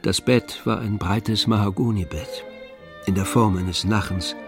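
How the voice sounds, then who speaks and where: Measured male narrator over faint music. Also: lowish voice. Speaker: narrator of a radio drama. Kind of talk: scripted literary narration.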